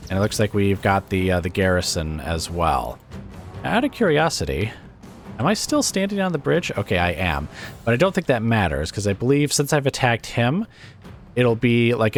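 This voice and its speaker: A man with a raspy, weakened voice from illness, casually commentating a strategy game and thinking aloud with plenty of hesitant fillers. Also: lowish voice.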